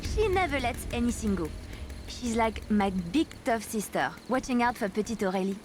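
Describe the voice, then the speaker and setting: A woman's voice: on the high side, animated, normal speed, noisy background, lightly acted. An actress playing a romantic, reckless young French woman in a video game, musing dramatically with a French accent. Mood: wry, fond. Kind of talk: video game character dialogue.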